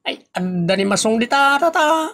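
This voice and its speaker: Creaky, swooping, bossy Ilocano man played by an actor. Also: extremely high pitch, lively intonation, normal speed, fairly bright tone, heavily acted. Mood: annoyed. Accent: Ilocano, northern Philippines. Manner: scolding, emphatic. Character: bossy, scolding male boss of idle workers. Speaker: actor in an Ilocano comedy audio drama. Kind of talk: comedy radio drama dialogue.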